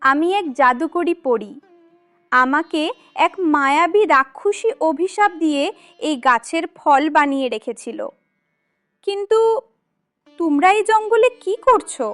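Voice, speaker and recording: A woman voicing a kindly young magical fairy in a cartoon story, gentle, sweet and reassuring. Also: high voice, animated, a little slow, long pauses, no echo, faint music behind. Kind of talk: cartoon moral story acting.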